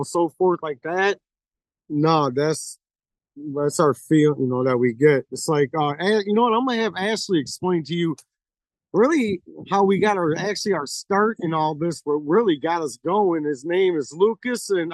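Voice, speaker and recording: A man's voice: on the high side, no room sound, low-quality recording. A man, a paranormal investigator, telling rambling stories in casual chat, full of swearing and acted-out quotes. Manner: profane, rambling, acts out quotes.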